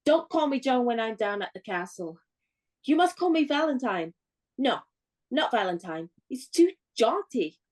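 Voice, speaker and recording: A woman's voice: on the high side, big pitch swings, normal speed, a little mellow. An actress playing a spirited, playful, bossy young woman in a radio play, with a theatrical, instructing manner. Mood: breezy, decisive.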